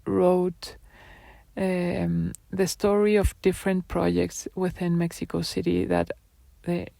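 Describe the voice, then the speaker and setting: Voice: mid-range voice, slow pace, creaky, mellow, dry recording. A person presenting their own design projects in a live talk, speaking hesitantly with many fillers and restarts.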